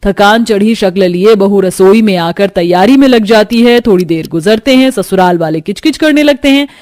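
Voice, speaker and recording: A woman's voice: mid-range voice, normal speed, a little mellow, dry recording, studio quality. A woman storyteller reading scripted narration of a moral tale.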